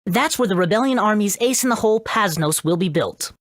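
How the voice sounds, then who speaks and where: Woman playing a lively, commanding rebel ally. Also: mid-range voice, a little fast, no echo, studio quality, close to an everyday voice. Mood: urgent, commanding. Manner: emphatic, directive. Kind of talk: video game voice acting.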